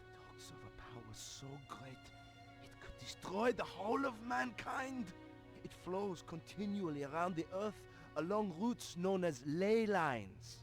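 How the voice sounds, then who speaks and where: Frightened male code-breaker, higher-pitched, halting and dramatic, confessional game cutscene over clear music. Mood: ominous. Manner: halting, stammering, dramatic swings. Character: anxious, excitable male code-breaker fearing for his life. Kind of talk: video game voice acting, recorded confession message.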